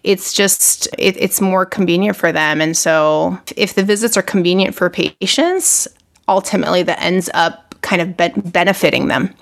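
A woman's voice: on the low side, moderate pace, creaky. A woman from Argentina, a physician, talking casually in an interview with lots of "like" and "you know" and frequent restarts. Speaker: physician, podcast guest.

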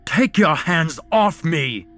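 A man's voice: mid-range voice, takes it slowly, slightly rough, soft background music, pitched above the performer's own voice. A man voicing a confused, frightened man at a party in a horror story, who begs and then bellows in panic. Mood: pleading.

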